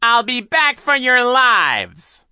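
A man's voice: a little high, big pitch swings, sharp tone, dry recording, clean studio sound, voice pushed up, full character voice. A man voicing a gloating, cruel male villain who drags out his threats. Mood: menacing. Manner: menacing, drawn-out threats.

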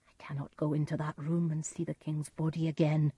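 A woman voicing a fearful, guilt-ridden murderer lord in a storybook, hushed and haunted. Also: sits low, takes it slowly, breathy edge, no echo, heavily acted. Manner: hushed, haunted, often whispering.

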